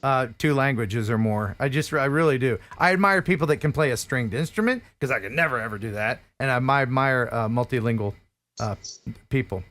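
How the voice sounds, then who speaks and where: A man's voice: medium pitch, fairly quick, fairly bright tone, a little hiss or hum. A man in his fifties hosting a video call interview, enthusiastic, exclaiming and laughing often, speaking into a headset from a home studio. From United States. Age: 50s. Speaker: host. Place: home studio room indoors.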